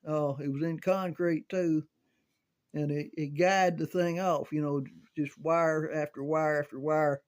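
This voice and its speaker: An older man telling casual, rambling recollections in a halting, folksy way, as if thinking aloud. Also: on the high side, fairly even intonation. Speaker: amateur storyteller reminiscing about CB radio.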